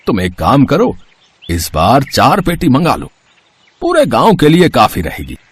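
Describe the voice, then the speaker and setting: A man voicing a gentle, fatherly elderly villager in a story, slow, soothing and resigned. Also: medium pitch, wildly swooping pitch, breathy edge, heavily acted. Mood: fond, teasing. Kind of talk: storybook character acting.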